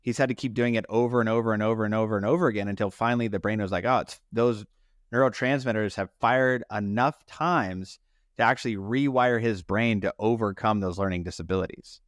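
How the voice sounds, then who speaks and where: A man's voice: lowish voice, fairly quick, no room sound, clean studio sound. A man hosting a podcast, talking in an emphatic motivational style and repeating phrases to drive points home. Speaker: podcast host.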